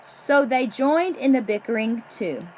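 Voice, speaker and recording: High, animated woman reading a storybook. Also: unhurried, slight background noise, rough recording. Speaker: storybook reader. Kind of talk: children's picture book read-aloud.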